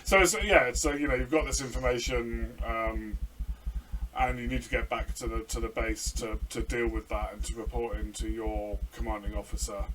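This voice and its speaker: A man, a player and co-host, chatting casually in a loose, halting way. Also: medium pitch, fairly even intonation, a bit bright. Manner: loose, halting, laughs at his slips. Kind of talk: casual review chat. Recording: distant mic with noticeable hiss.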